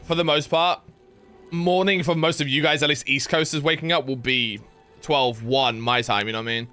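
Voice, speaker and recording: A young man casually chatting while streaming a game, rambling with lots of fillers and excited yelps. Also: mid-range voice, normal speed, soft background music. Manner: rambling, filler-heavy, reads chat aloud, yelps with excitement. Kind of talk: casual live-stream gameplay chat.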